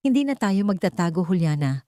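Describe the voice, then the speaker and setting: A woman's voice: fairly low pitch, very animated, a little fast, dark tone, dry recording, studio quality. A woman narrator voicing a protective, commanding older brother in an audiobook. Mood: firm.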